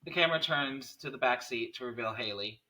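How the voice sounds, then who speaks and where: A man's voice: medium pitch, a little fast, sharp tone. A man reading out screenplay stage directions at a table read, picked up by a distant microphone.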